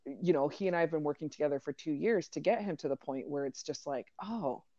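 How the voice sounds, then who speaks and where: A woman's voice: sits low, fairly quick, mellow, dry recording, dull audio. A woman hosting a casual mindfulness podcast, talking loosely with fillers and restarts. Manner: rambling, many fillers and restarts. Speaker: podcast host, coach to entrepreneurs.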